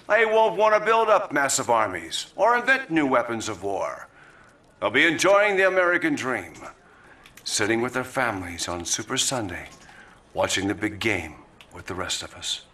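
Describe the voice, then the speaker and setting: Cynical politician, podium speech, bright voice, lively pitch, loud then hushed. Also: male voice, moderate pace. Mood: oratorical. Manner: oratorical, swinging between loud and hushed. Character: smug male politician giving a speech, cynical. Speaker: vice president.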